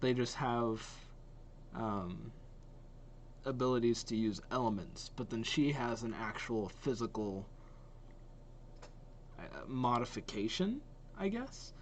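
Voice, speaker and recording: A man's voice: mid-range voice, lively intonation, slow, pauses often, slight background noise. A young man giving casual running commentary as a reaction host, musing aloud in an informal, hesitant way. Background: game trailer music.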